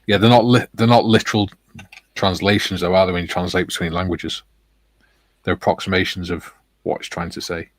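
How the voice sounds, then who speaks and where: Male stream host, low dry voice, jokey chuckling delivery reading chat aloud. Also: little pitch movement, fairly quick, no room sound.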